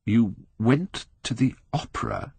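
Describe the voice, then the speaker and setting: A man's voice: medium pitch, lively intonation, slow, creaky, darkish tone, slightly rough, no echo, pitched above the performer's own voice, exaggerated voice. A man voicing an earnest painter in an audiobook, British, grave and pained as he pleads with a friend. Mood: pained, reproachful. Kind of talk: audiobook character acting.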